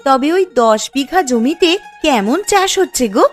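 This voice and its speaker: A woman's voice: high-pitched, lively intonation, moderate pace. A woman voicing a chatty, admiring and conniving village wife in a moral-story cartoon. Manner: chatty, familiar. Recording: music under the voice.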